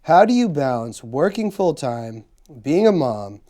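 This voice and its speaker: A man hosting a casual podcast interview, his pitch rising and falling a lot as he talks. He rambles with many fillers and false starts. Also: big pitch swings, measured pace, warm tone. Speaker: podcast host.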